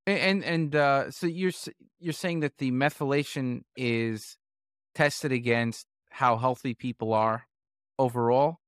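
A man's voice: mid-range voice, a little slow, clean tone, dry recording, studio quality. A man hosting a podcast, asking questions in a casual interview style.

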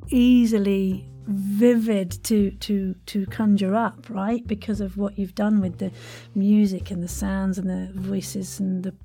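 A woman's voice: medium pitch, flat delivery, a little slow, hardly any pauses, dark tone, faint music behind. A woman hosting a casual interview, effusive and enthusiastic as she responds to her guest. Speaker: podcast host, interviewer.

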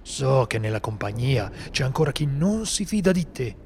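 A man playing a seasoned, solitary monster hunter in an amateur radio drama, speaking gravely and steadily. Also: medium pitch, sing-song, moderate pace, a little mellow, a little hiss or hum. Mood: warm. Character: seasoned male monster hunter, brooding loner.

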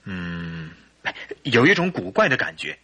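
An actor playing a distraught, nervous husband in a radio drama, speaking earnestly. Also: male voice, low-pitched, sing-song, a little slow, pushed and bright, slightly rough, heavily acted. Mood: troubled.

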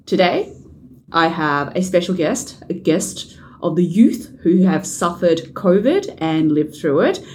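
A woman's voice: lowish voice, measured pace, a little hiss or hum. A woman hosting a podcast, chatting casually with a guest and laughing often. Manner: laughs often, animated questions. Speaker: podcast host. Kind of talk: casual interview chat. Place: Chinatown, Sydney.

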